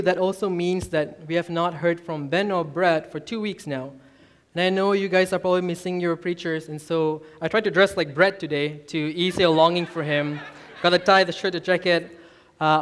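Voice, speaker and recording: A man in his twenties from Malaysia, a youth minister preaching a personal testimony sermon in an earnest, self-deprecating way. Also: on the high side. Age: 20s.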